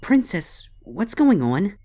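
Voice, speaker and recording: A man's voice: high voice, sing-song, takes it slowly, mellow, soft background music, pitched above the performer's own voice, full character voice. A man voicing an anxious, earnest young female unicorn pony with urgent, pleading delivery in a dramatic story reading. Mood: fearful, demanding. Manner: urgent, exclamatory. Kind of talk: dramatic fan fiction reading.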